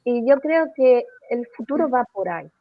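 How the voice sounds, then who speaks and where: A woman's voice: on the high side, lively intonation, normal speed, no echo. A woman guest in an interview, speaking in an earnest, reflective way. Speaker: guest activist. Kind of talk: casual conversational interview. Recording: dry, dull lo-fi sound.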